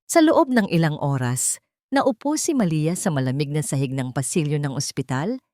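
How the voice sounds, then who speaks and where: A woman reading a scripted dramatic story in a measured, deliberate way, with short pauses for effect. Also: sits low, expressive, a little mellow, dry recording, clean studio sound. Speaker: story narrator.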